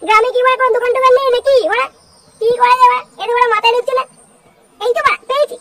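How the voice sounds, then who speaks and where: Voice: very high voice, steady tone, very fast. A performer voicing a brash, demanding, hot-tempered boy from the city in a comic cartoon. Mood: whining, pushy. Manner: brash, foul-mouthed, demanding. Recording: very noisy cartoon soundtrack.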